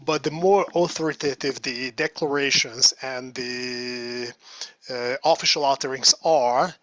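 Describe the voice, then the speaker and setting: A man's voice: mid-range voice, a little slow, a bit bright, dry recording, muffled recording. A man asking a long, earnest question in an online academic seminar over a video call. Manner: earnest, argumentative, piles up figures.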